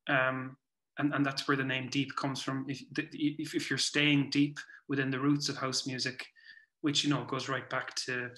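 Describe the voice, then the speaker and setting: A man with a Cork Irish accent talks casually and reflectively, halting and rephrasing as he goes. His voice is bright and fairly level in pitch. It comes over a video call from a roomy indoor space. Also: flat delivery. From Cork, Ireland. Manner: halting, circling back to rephrase. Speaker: guest, playwright and performer. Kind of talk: casual reflective interview chat. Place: small room at home, indoors. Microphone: computer mic on a video call.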